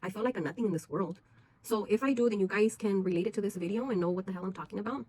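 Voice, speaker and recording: A woman's voice: mid-range voice, rapid-fire, mellow, breathy edge. A woman, talking frankly and bluntly to her viewers in a personal vlog, swearing freely. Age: 40s. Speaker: vlogger.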